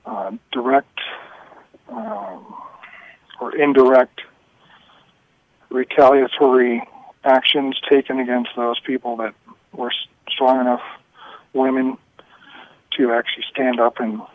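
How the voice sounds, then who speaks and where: A man answering interview questions on a recorded telephone call, candid, with halting yet emphatic phrasing. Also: mid-range voice, no room sound. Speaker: union vice president, anonymous interviewee.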